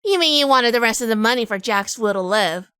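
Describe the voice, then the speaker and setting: A woman playing a quick-witted, sharp-tongued wife in a hardboiled gangster audio drama, brisk and sardonic. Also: fairly high pitch, lively intonation, clear, resonant tone, no room sound, studio quality. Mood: exasperated, teasing.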